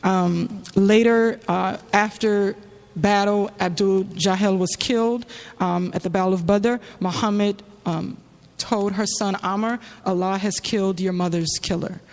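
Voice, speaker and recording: A woman giving a semi-prepared religious talk in a halting, reflective way, telling a story with frequent pauses and fillers. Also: medium pitch, steady tone, darkish tone. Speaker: speaker giving a religious address. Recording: long hall reverb.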